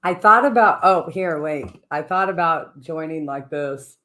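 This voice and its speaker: A woman co-hosting a radio show, chatting lightly, her voice raspy from a trip. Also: low-pitched, measured pace. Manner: chatty, laughing, lively. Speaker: radio co-host. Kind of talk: casual on-air chat.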